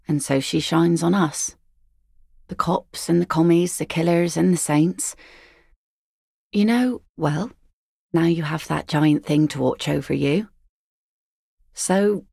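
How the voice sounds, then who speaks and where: A woman voicing an easygoing, philosophical seafaring mother in a video game, with a wry, gently teasing, folksy manner. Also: fairly low pitch, gravelly, breathy edge, no echo, clean studio sound, exaggerated voice. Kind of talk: naturalistic video game dialogue acting.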